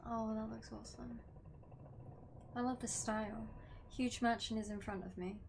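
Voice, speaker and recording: A woman's voice: fairly high pitch, steady tone, moderate pace, vocal fry, darkish tone, a little hiss or hum. A woman game streamer reading a visual novel aloud, breaking off for casual commentary. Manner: casual reactions between read-out lines. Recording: music behind the voice. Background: game music.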